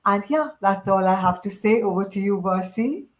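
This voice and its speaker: A woman's voice: medium pitch, moderate pace. Cheerful woman in her sixties. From India. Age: 60s. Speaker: panel guest, business mentor.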